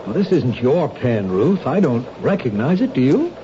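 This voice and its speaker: An actor playing an earnest, protective, questioning husband in a 1970s radio drama. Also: male voice, mid-range voice, sing-song, moderate pace, close to an everyday voice. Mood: puzzled, dismayed. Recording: dull radio recording with faint noise.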